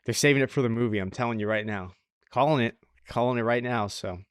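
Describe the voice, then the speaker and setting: A man speaks as a podcast co-host in a dry, close-sounding space. His voice sits at an ordinary pitch, and he chats casually, repeating short phrases to press his point. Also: fast, clean tone, dry recording. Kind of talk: casual anime review chat.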